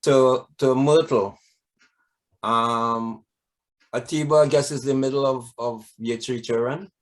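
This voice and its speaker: A man's voice: medium pitch, fairly even intonation, slow pace, low-quality recording. A man hosting an interview, asking friendly, rambling questions full of fillers. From Trinidad. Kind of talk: casual interview.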